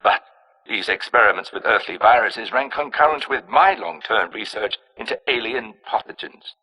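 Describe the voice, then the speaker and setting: An actor playing a cold, arrogant scientist in a video game, narrating with clinical precision in a raspy, hard-edged voice. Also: male voice, lowish voice, lively intonation, moderate pace, sharp tone, exaggerated voice, low-quality recording. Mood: proud. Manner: clinical, precise, self-important. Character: cold, arrogant male scientist engineering plagues and alien pathogens.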